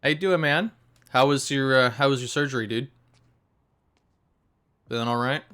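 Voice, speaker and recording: A man's voice: medium pitch, moderate pace, clean tone. A man with a nasal voice, groggy from just waking up, chatting casually and rambling with fillers as he streams a game. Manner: relaxed, rambling, many fillers. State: sleepy, just woken up. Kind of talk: casual live-stream gameplay commentary.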